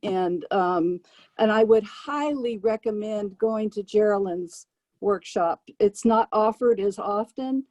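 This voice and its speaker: A woman's voice: medium pitch, slow, a little mellow, no echo. A woman taking part in a workshop on a video call, recommending resources hesitantly as she searches for words. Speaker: workshop participant.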